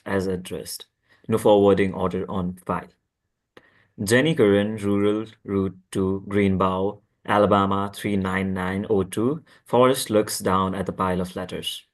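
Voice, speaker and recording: A man narrating a script at a table read, reading out stage directions. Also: low-pitched.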